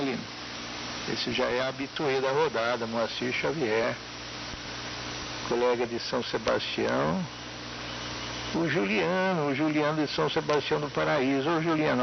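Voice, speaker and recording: A muffled radio transmission with clear hiss and buzz carries a Brazilian man's gravelly voice. He chats casually as an amateur radio operator, friendly and talkative, often tagging his phrases with little questions. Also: medium pitch. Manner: friendly, chatty, frequent tag questions. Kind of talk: casual ham radio chat with greetings and call signs.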